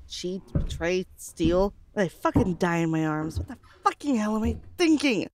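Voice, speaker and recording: A woman's voice: on the low side, noisy background. An actress playing a tough, bitter, foul-mouthed female survivor in an audio drama.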